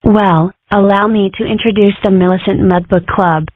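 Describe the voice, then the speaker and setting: A woman's voice: medium pitch, fairly even intonation, normal speed, no echo, narrow, tinny audio, natural voice. A woman voicing an opinionated, mischievous animal girl in a comic dub. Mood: self-important. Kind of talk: comic dub character acting.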